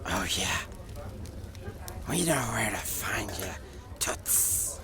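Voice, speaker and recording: A performer playing a dim, menacing scaly thug sidekick in a noir audio drama, a slow yes-man with a creaky voice. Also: low voice, sing-song, crowd noise, full character voice. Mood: quiet menace.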